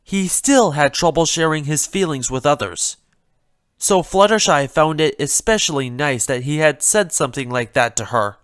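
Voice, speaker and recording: A woman reading a story aloud as expressive scripted narration. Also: deep voice, moderate pace, clear, resonant tone, no room sound, studio quality. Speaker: story reader.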